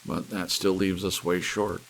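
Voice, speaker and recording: A man voicing an excitable druggist fighting despair, earnest and exclamatory, in an audiobook story. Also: sits low, a little slow, vocal fry, a little hiss or hum, full character voice. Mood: dejected.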